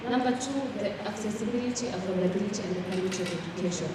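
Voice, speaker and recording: A woman's voice: medium pitch, racing, background noise. Young rwandan woman talking on a panel, sounds far off in a big echoey hall. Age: young adult. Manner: earnest, fluent with frequent fillers and restarts. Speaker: panelist, student representative. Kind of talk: panel discussion answer.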